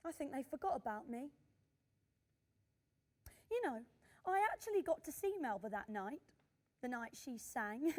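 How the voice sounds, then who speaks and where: A woman's voice: sits high, darkish tone. An actress playing a modest, cheeky old woman who was once a singer, chuckling and plain-spoken in a radio drama. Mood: cheeky, dismissive. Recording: long hall-like reverb.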